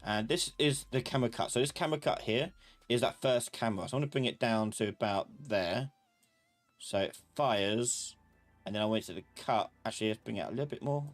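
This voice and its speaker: A man teaching a live software class, thinking aloud in a casual, informal way as he explains step by step. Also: mid-range voice, fairly quick, soft background music.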